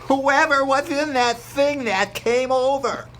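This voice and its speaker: A bright, forceful voice from a performer playing an earnest fourteen-year-old boy. The lines come slowly and seriously, in an amateur script read-aloud with faint room noise. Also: high voice. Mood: grave, insistent.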